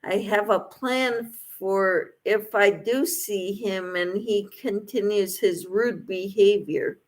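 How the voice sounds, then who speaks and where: A woman's voice: medium pitch, fairly even intonation, takes it slowly. A woman hosting a casual livestream, reading out viewers' comments and replying to them in an encouraging way. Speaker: livestream host.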